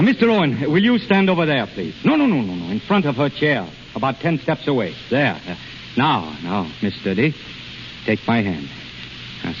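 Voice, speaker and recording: Male, tormented and commanding healer, urgent repetitions, vintage radio melodrama, muffled sound with heavy hiss. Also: fairly low pitch. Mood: coaxing. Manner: urgent, commanding, repeats words. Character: intense, proud, tormented male self-taught healer. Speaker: actor. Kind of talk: 1930s radio drama acting. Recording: noisy, dull 1930s radio recording.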